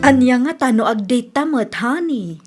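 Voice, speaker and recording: Woman playing a neglected, distrustful wife, Filipino accent, raised pitch, radio drama acting. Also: expressive, normal speed, over loud music, close to an everyday voice. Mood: suspicious, pleading.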